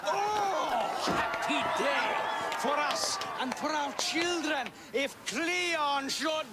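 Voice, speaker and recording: Shrill, bombastic Greek stage player. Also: male voice, lively intonation, a loud crowd, very noisy, full character voice. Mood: declaiming, mocking. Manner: declamatory, very drawn-out, projecting. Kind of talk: theatrical declamation in a video game.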